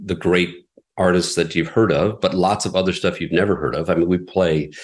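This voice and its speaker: A man in an interview, a radio executive explaining his station's approach in a measured way with frequent fillers. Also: deep voice, fairly even intonation. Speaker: classical radio station president. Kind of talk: conversational interview answers.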